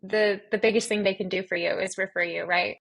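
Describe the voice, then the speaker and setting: Woman, podcast interviewer, raspy voice, medium pitch, conversational, supportive and affirming. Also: fairly even intonation, fairly quick, fairly bright tone. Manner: encouraging, affirming.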